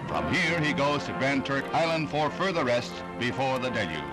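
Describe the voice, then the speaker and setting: American newsreel announcer, man, over loud music. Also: mid-range voice, very animated, normal speed. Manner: dramatic, grandiose announcer delivery. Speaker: newsreel narrator. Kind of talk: 1960s newsreel scripted narration. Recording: old 1960s newsreel film soundtrack.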